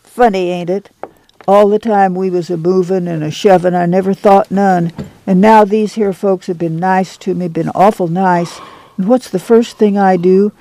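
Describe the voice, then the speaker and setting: A man voicing a tired, steadfast farm mother with a soft rural drawl, in his own creaky, highish voice. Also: steady tone, moderate pace. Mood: sad.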